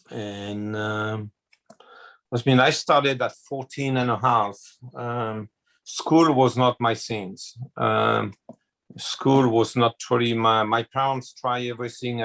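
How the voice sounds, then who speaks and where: A man in his sixties with a French accent, a chef telling his life story in an interview, slow and hesitant with many fillers. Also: medium pitch, a bit bright. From France. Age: 60s.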